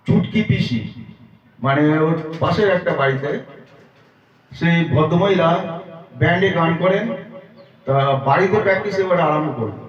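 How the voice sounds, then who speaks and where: A man telling a comic story as a monologue, conversational, with explanatory asides. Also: high-pitched. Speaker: storyteller for a YouTube channel. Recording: dull, echoey, distant-mic recording.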